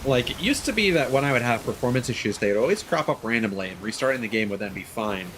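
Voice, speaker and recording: Male streamer, mid-pitched with animated intonation, casual gameplay chat with exasperated swearing, clear rain-like noise behind. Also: quick pace, low-quality recording. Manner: frequent swearing, exasperated grumbling. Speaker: video game streamer.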